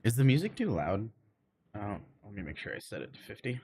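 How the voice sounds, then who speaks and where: A man's voice: on the low side, very animated, fairly quick, a little mellow, a little hiss or hum. A man streaming a game and chatting casually with viewers, rambling and often searching for his words. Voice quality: cannot roll R's. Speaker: game livestreamer. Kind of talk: casual livestream chat.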